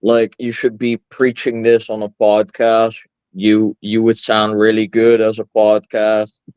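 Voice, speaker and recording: A man with a calming, smooth, low voice talks in a relaxed, thoughtful way. The sound is dry and a little dull, like a lo-fi mic. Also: a little slow, no echo. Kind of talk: casual chat. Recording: dull lo-fi audio.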